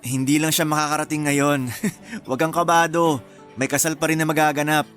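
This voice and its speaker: An actor playing a moody, put-upon groom-to-be with a Filipino accent in a radio drama, with music behind. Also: male voice, fairly high pitch, level tone, normal speed, fairly bright tone, natural voice. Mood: subdued.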